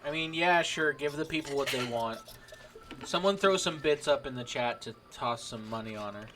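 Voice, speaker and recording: A man's voice: mid-range voice, expressive, moderate pace, sharp tone, slight background noise. A man chatting casually as a game master while running a sound check for an online stream. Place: home voice chat without headphones. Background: background music.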